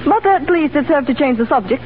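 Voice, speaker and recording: A woman's voice: high voice, lively intonation, fairly quick, noisy background. An actress playing an earnest, guarded Russian woman torn between love and duty in 1930s radio drama, speaking formally and carefully. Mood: cool, reserved. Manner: formal, careful diction without contractions. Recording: tinny 1930s radio broadcast with music.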